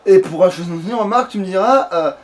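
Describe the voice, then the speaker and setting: A man's voice: high voice, brisk. A man giving casual, rambling let's play commentary peppered with swearing. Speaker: let's player.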